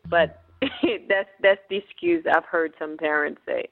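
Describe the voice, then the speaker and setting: A woman's voice: sits low, steady tone, measured pace, vocal fry. A woman from Haiti, a business owner, talking as a guest in a radio interview, earnest and often restarting her words. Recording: tinny phone-like radio broadcast.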